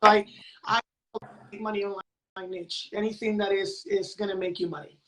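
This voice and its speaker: A woman talks as a guest in a casual interview. She explains things in a conversational way and often checks in with a short "right?". Her pitch sits in a middle range for a woman. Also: steady tone, moderate pace, poor audio. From San Juan, Puerto Rico. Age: late 40s. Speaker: podcast guest, crypto educator.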